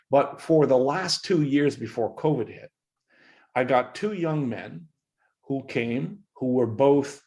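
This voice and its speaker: A man's voice: medium pitch, darkish tone. A middle-aged man from Canada, a professor speaking as a podcast guest, explaining history with earnest emphasis and frequent restarts. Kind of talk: interview answers.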